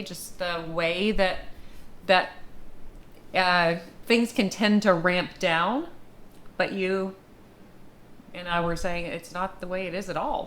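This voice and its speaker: A woman's voice: fairly low pitch, a bit bright, slight background noise. A woman co-hosting in a casual, conversational chat. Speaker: co-host.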